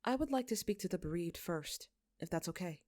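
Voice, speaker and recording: A woman voicing a gentle young male religious leader in a story, soothing and reassuring. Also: lowish voice, expressive, brisk, close to an everyday voice.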